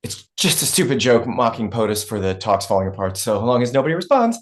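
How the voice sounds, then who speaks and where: Actress as harried female press secretary, deep voice, extremely animated swings, panicky, stage comedy. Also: brisk, slight background noise. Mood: dry, harried. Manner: excitable, swinging from dry to shrieking. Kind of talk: comedy script table read.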